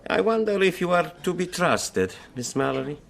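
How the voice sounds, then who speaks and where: Formal, gravelly businessman. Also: sits high, animated, normal speed, a bit bright, full character voice. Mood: suspicious. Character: formal, suspicious European businessman seeking a secret deal. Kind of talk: radio drama acting.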